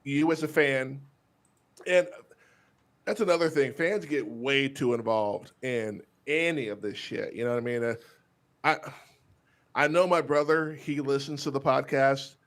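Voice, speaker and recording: A man's voice: medium pitch, unhurried, a bit bright. A man with a Texas accent, co-hosting a podcast in casual, blunt, profane chat, laughing often. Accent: Texan. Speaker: podcast co-host.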